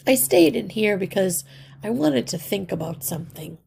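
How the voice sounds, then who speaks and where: A woman voicing a thoughtful, sickly young mouse boy in a children's storybook, earnest and calm. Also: mid-range voice, vocal fry, slight background noise, pitched above the performer's own voice. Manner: earnest, measured explaining. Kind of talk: children's storybook read-aloud.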